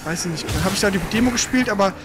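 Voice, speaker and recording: A man giving casual, slangy let's play commentary while he plays, reacting out loud to the game. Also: high voice, racing, over music. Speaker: let's player.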